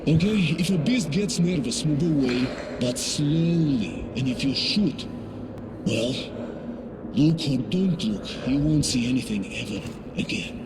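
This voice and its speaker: Male game role: seasoned wasteland ranger, Russian accent, slightly high-set voice, instructive, noisy background. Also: expressive, a little slow. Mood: grave warning. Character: seasoned male post-apocalyptic ranger guide, cautious and fatherly. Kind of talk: video game voice acting.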